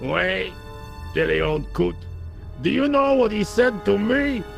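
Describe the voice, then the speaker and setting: Cocky, gravelly, high-pitched workman. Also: lively intonation, takes it slowly, soft background music, heavily acted. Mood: chatty, scornful. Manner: colloquial, blustering, scornful. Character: cocky, gruff, work-shy Parisian workman. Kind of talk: video game dialogue acting.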